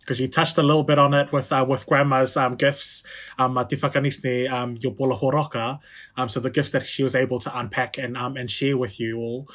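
A man hosting a podcast leads the interview at a middle pitch. He asks thoughtful questions and often hesitates on "um" while he gathers his words. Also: little pitch movement, fairly quick, a bit bright. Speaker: host.

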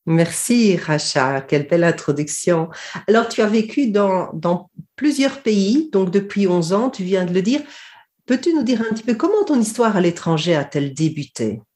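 A woman hosting a podcast, asking her guest polite, measured questions. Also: mid-range voice, animated, a little mellow. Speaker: podcast host. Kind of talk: interview questions.

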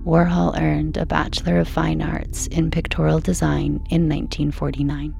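A woman calmly reading a scripted bedtime narration in a hushed, measured and soothing way. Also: low-pitched, creaky, faint music behind. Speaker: narrator of a sleep podcast.

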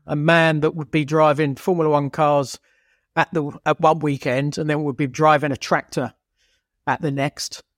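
A man's voice: on the high side, flat delivery, normal speed, fairly bright tone, dry recording, clean studio sound. A man co-hosting a casual, conversational chat about motorsport. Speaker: podcast co-host.

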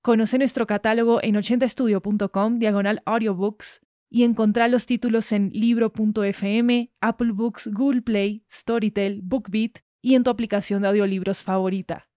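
A woman's voice: medium pitch, fairly even intonation, normal speed, mellow, no room sound, clean studio sound. A woman with an Argentine accent reading scripted promotional narration as a podcast announcer.

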